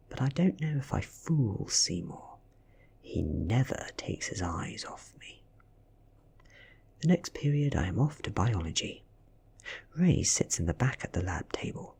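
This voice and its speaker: British male reader, soft-spoken ASMR storytelling, very breathy and hushed, with lively pitch movement. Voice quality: breathy, whispery. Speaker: audiobook reader.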